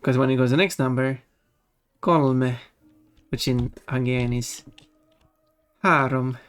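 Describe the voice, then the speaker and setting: A casual streamer with a mid-pitched voice of ambiguous gender chats over soft music. The talk rambles and doubles back to correct itself, with giggly little asides. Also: fairly even intonation, measured pace, smooth. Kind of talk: casual livestream chat. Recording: music behind the voice. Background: background music.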